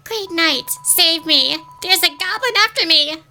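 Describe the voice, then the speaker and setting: A woman's voice: very high voice, animated, measured pace, sharp tone, heavily acted. A woman voicing a small, eager, chatty fairy in a fan-dubbed video game. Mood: pleading.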